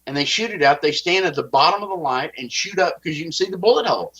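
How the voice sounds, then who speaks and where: A man with a Texas accent, giving halting interview testimony and often repeating words as he starts a sentence. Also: fairly high pitch, expressive, pushed and bright, slightly rough. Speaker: guest. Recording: dull lo-fi audio.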